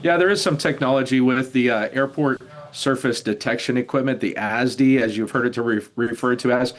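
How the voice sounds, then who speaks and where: An older man, an expert guest in a news interview, explaining in a measured, halting way. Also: mid-range voice. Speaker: retired air traffic controller, expert guest.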